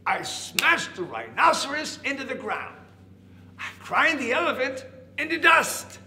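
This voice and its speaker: A man voicing a little caterpillar that poses as a mighty warrior beast, boastful and loudly declaiming for a children's story. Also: very high-pitched, very animated, measured pace, pitched above the performer's own voice, full character voice. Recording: echoey room, faint background noise.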